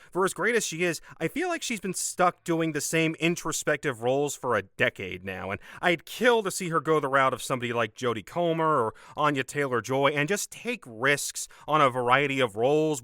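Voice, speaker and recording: A man with a cold-roughened, hoarse voice talks through a scripted film review in a measured, opinionated way. His pitch moves a lot, the tone is bright, and the close recording is dry with no room sound. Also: no room sound. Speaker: film critic and channel host. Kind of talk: scripted movie review roundup.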